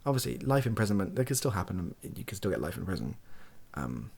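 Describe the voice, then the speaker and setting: A young man speaking softly and breathily in a casual, rambling monologue, hesitant and self-deprecating as he gives his opinions. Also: lowish voice, sing-song, quick pace. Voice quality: soft, husky, breathy. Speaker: online video creator giving opinions.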